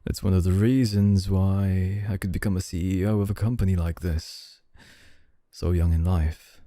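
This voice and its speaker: A man playing a suave, calm, quietly domineering young CEO in an ASMR audio roleplay, speaking softly with deliberate, formal courtesy. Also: no room sound, studio quality, lightly acted. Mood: calm, authoritative. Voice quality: dark, low, soft voice. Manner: slow, deliberate, smooth formal courtesy, soft chuckles. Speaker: voice actor.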